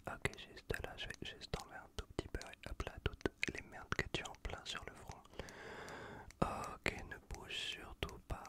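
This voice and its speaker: A man with a French accent from France whispers a casual, reassuring ASMR roleplay as a caring survivor tending a wounded stranger, breathy and close to the microphone. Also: normal speed. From Bordeaux region, France. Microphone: close Blue Yeti microphone.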